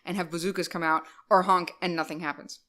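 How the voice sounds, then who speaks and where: Animated young woman chatting with lots of fillers. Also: on the low side, fast talker. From Rhode Island. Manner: animated, many "like" fillers, loud bursts. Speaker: podcast co-host. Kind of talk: casual chat.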